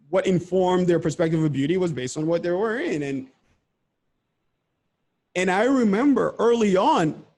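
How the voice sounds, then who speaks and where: Seminar presenter: man from the Congo, slightly high voice, earnest, explains and repeats himself, on a video call. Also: a little mellow. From Democratic Republic of Congo. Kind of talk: conversational presentation.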